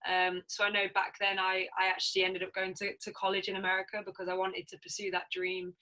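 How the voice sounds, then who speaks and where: A woman's voice: medium pitch, monotone, fast, sharp tone, rough recording. A woman, a footballer answering interview questions in a friendly, upbeat way. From Leeds, England. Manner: friendly, upbeat, fills with "um" and "yeah". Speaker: professional footballer, guest. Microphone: video call.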